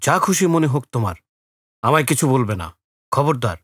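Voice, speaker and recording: A man acting a troubled, irritable village schoolteacher in an audio drama, tense and deliberate. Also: medium pitch, sing-song, breathy edge, dry recording, clean studio sound. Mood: angry.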